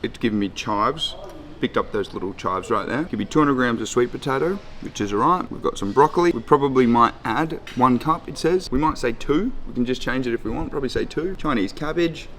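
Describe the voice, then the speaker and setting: A man's voice: medium pitch, expressive, fairly quick, a bit bright, a little hiss or hum. An Australian man talking casually and upbeat, vlogging a trip and commenting on his own footy game, with the odd casual swear. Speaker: vlogger and amateur footy player. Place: outdoors at a stadium and hotel.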